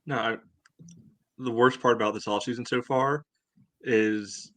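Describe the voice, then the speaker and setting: A man's voice: mid-range voice, fairly even intonation, unhurried, fairly bright tone, no echo. A man bantering casually as a podcast panelist, blunt and mock-indignant.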